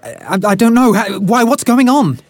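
An actor playing a worried, excitable husband and scientist in an audio drama, stammering with urgency. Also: male voice, very high-pitched, normal speed, no echo. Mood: urgent, horrified.

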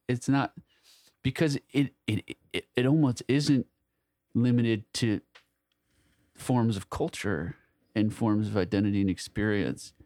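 A man's voice: medium pitch, darkish tone, no room sound, studio quality. A man co-hosting a casual literary discussion, searching for words, repeating phrases and swearing freely. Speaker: podcast co-host.

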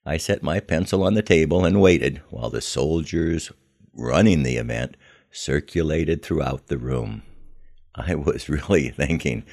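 Older american guy telling his life story, super deep n gravelly, measured and reflective. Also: darkish tone. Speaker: podcast host telling his memoir. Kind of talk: scripted first-person storytelling.

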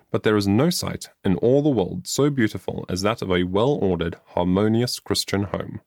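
A man reading as an audiobook narrator, in a measured, formal and earnest scripted style. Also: fairly low pitch, no room sound, clean studio sound. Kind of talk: scripted audiobook narration of an old-fashioned moral essay.

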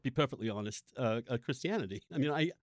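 A man hosting an interview podcast, speaking reflectively and earnestly in halting, emphatic phrases that often break off and restart. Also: mid-range voice, lively intonation, no echo, clean studio sound. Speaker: podcast host interviewing a guest.

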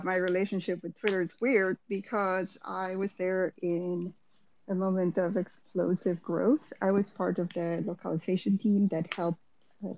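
A woman from Rica, a guest talking frankly and casually in a relaxed, self-deprecating way. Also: lowish voice, no room sound, lo-fi sound. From Costa Rica. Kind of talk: casual conversation on a live audio chat.

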